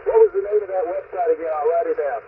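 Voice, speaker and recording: A man's voice: high voice, wildly swooping pitch, rapid-fire. An American man heard over two-way radio, making courteous, chatty amateur radio contacts. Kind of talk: ham radio contact exchange. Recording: narrow, static-laden shortwave radio transmission.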